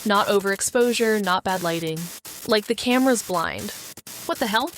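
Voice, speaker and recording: A woman's voice: mid-range voice, moderate pace, faint music behind, close to an everyday voice. A wry, paranoid woman sleuth played by an actress narrates a noir audio drama. Her delivery is sardonic and brooding, with a bitter edge and a mid-range voice. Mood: uneasy.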